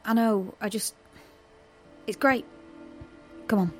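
A woman's voice: medium pitch, slow pace, darkish tone, soft background music, natural voice. An actress playing an anxious but defiant young woman on the run in an audio drama, emotional and urgent. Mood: nervous, hurried. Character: anxious, defiant young female fugitive with a conscience.

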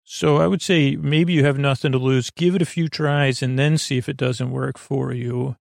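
Male bedtime podcast host: creaky, fry-laden voice, rambling and halting delivery full of digressions, very dry sound. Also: mid-range voice, fairly even intonation, no echo. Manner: meandering, hesitant, repetitive, digressive.

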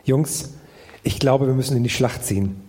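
A man's voice: mid-range voice, moderate pace, a little mellow, close to an everyday voice. A man playing an earnest, naive, keen boy detective in a comic audio drama. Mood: resolute.

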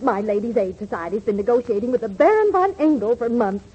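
A woman playing a bossy, excitable middle-aged mother with imperious airs, in 1940s radio comedy style. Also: on the high side, fast talker, breathy edge. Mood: excited, gushing. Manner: imperious, gushing. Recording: muffled 1940s radio recording.

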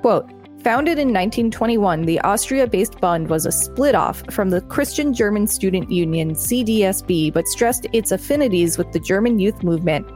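Woman podcast co-host, mid-pitched, reading aloud with chatty asides. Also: animated, normal speed, darkish tone, faint music behind. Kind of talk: reading an article aloud with casual commentary.

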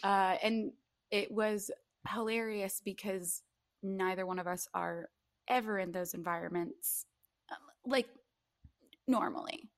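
A woman's voice: medium pitch, steady tone, a little slow, pauses often, no room sound. Female podcast co-host, mid-range voice. Playful and mock-dramatic, conversational, recorded dry. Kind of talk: casual podcast chat.

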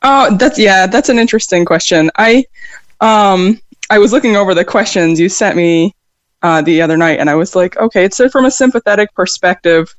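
A woman's voice: mid-range voice, normal speed, no room sound. An American woman from upstate New York and Vermont, speaking as a songwriter in an interview, hesitant, with many fillers and restarts. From upstate New York, raised in Vermont. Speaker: songwriter guest. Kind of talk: interview conversation.

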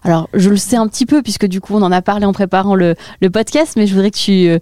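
A woman hosting a podcast, reading a clear introduction and asking interview questions. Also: medium pitch, fast talker, mellow, no room sound, studio quality. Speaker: podcast host.

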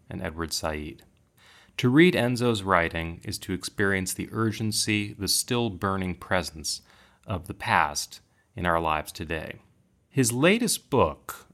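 A man hosting a podcast, reading a measured, formal introduction of his guest. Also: low-pitched, pushed and bright. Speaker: podcast host. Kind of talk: scripted introduction.

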